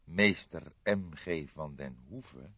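A man voicing an old grizzled police detective in an audiobook, calm, dry, wry and deliberate. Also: extremely low pitch, husky, no room sound, dull audio, exaggerated voice. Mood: pensive.

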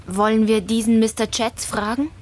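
A woman plays an innocent, inquisitive girl in a radio drama. Her voice is high, polite and frank, over some background ambience. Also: flat delivery, normal speed, darkish tone, close to an everyday voice. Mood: curious, frank. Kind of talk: German radio play acting. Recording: clear ambient background noise.

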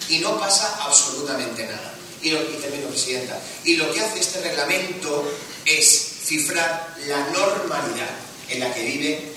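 A man's voice: fairly high pitch, recorded from a distance, background noise. A man speaking as mayor in a council debate, combative and emphatic. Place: council chamber. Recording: echoey council chamber from afar.

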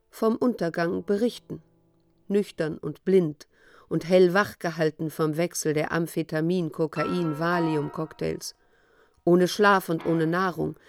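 An actress playing a disillusioned storyteller of the apocalypse in a radio drama, measured and sombre. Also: female voice, lowish voice, a little mellow. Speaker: narrator. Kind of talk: literary radio drama monologue.

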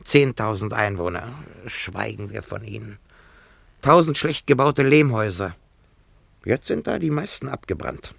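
Deep-voiced, sardonic dentist. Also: male voice, animated, a little slow, creaky, breathy edge, dry recording, exaggerated voice. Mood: disdainful. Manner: sardonic, disdainful, emphatic clipped phrases. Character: irritable, wine-loving male dentist in an ancient town. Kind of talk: German radio play, theatrical first-person narration.

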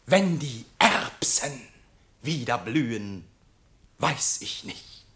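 An actor playing an affected gentleman poet in a radio play, delivering verse in a florid, drawn-out manner. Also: mid-range voice, very animated, heavily acted. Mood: affected rapture.

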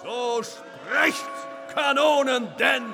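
A man's voice: extremely high pitch, very slow, gravelly, music in the background, full character voice. A man playing a stout brewery director acting a Swedish general, declaiming pompous verse from far off over a crowd. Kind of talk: radio play acting.

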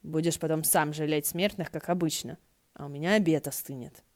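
A woman's voice: low-pitched, fairly quick, darkish tone. A woman voicing a grumpy, suspicious and reserved male deity with dry, curt grumbling, in a storybook reading.

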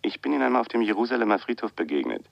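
A man's voice: mid-range voice, big pitch swings, rapid-fire, creaky, no room sound. An actor playing a mysterious, insistent man on the phone in a radio crime drama, cryptic and self-assured. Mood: cryptic, probing. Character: mysterious, insistent man phoning a writer, afraid for his life. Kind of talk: 1980 German radio crime drama acting. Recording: dull, lo-fi radio play sound.

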